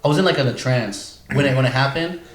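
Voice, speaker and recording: A man's voice: medium pitch, fast talker, a little hiss or hum. A man podcast co-host bantering casually with friends, repeating himself for emphasis in slangy, sweary speech. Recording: roomy, echoing sound.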